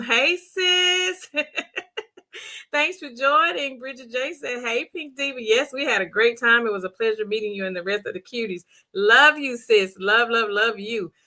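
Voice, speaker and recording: A middle-aged woman with a hoarse voice, hosting a live stream and warmly greeting viewers by name in the chat. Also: a little high. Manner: bubbly, repetitive greetings. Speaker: livestream host.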